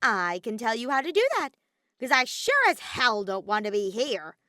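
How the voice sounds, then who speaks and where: A woman's voice: a little high, sing-song, normal speed, pushed and bright, no room sound, clean studio sound, pitched above the performer's own voice. A woman voicing a bratty, exasperated male robotic teddy bear villain in a fan fiction audio reading, theatrical and whiny. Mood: demanding. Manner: theatrical, whiny, griping outbursts.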